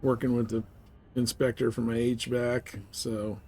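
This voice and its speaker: A man's voice: mid-range voice, level tone, a little slow, dark tone, slight background noise. A man chatting informally and with some swearing as he live-streams a game. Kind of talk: casual live-stream gameplay chat.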